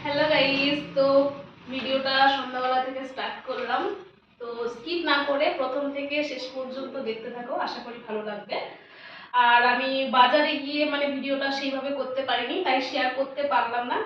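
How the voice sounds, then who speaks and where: Woman, high voice, West Bengal Bengali accent, chatty vlog talk to friends, distant mic in an echoey room. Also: fairly even intonation, a little fast. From West Bengal, India. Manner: chatty, addresses viewers as friends. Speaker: lifestyle vlogger. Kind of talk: casual chatty vlog, showing shopping to viewers. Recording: roomy echo, distant mic, dull, faint noise.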